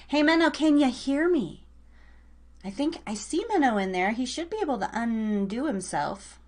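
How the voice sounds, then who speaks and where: A woman's voice: fairly high pitch, animated, normal speed, a little mellow. A woman hosting a live online radio show, chatting casually and playfully with listeners and a guest. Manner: chatty, playful, informal teasing.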